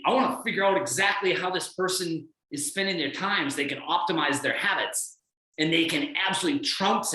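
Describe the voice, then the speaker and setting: Energetic blunt male coach, echoey room. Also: on the high side. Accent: non-American. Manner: energetic, blunt, acts out others' voices. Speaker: fitness coach guest speaker. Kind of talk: motivational coaching talk.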